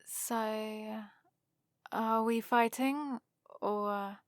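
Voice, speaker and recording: A woman's voice: fairly high pitch, steady tone, drawn-out words, dry recording. A woman playing a haughty, sarcastic centuries-old vampire librarian in a scripted ASMR roleplay, with a dry, theatrical delivery. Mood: bemused, sarcastic.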